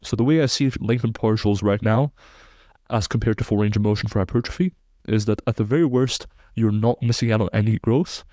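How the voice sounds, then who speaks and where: Guest researcher, man in his twenties, lowish voice, technical and fluent. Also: fairly quick, mellow, dry recording, studio quality. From Belgium. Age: 20s. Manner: precise, technical, fluent explainer. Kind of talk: podcast interview, explaining research.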